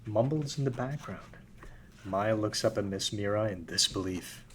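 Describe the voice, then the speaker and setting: A man's voice: fairly low pitch, moderate pace, slight background noise. A man narrating a script at a table read, reading the stage directions plainly.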